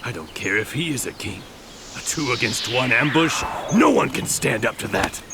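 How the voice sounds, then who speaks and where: A man playing a brash, greedy, excitable martial artist in a video game cutscene, with a gravelly voice. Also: medium pitch, moderate pace, noisy background, lightly acted. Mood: scheming.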